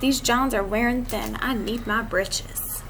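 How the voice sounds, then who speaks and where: A woman's voice: a little high, measured pace, fairly bright tone. A woman voicing a tired, griping male cowboy from a storybook, complaining in a folksy way. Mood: grumbling. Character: tired, grumbling male cowboy upset over lost clothes. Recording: clearly noisy amateur recording.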